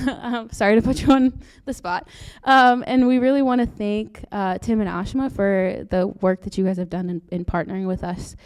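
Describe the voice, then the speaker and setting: Young female grad student presenter, soft-spoken and earnest, warm and resonant on the mic. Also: medium pitch, steady tone, normal speed, few pauses, clear, resonant tone. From Brazil. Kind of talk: conference presentation. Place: lecture room. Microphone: handheld or podium mic.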